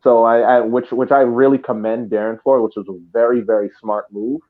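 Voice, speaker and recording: A man co-hosting a sports podcast, giving casual analysis haltingly and repeating words as he thinks. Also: medium pitch, fairly even intonation, phone-quality sound. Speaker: podcast co-host.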